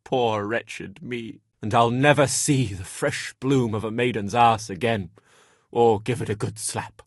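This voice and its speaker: A man's voice: mid-range voice, unhurried, dry recording, clean studio sound, close to an everyday voice. A man playing a lusty, self-pitying young nobleman in a video game, complaining dramatically in coarse, colloquial speech. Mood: bitter, wretched. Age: young adult. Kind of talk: video game character dialogue.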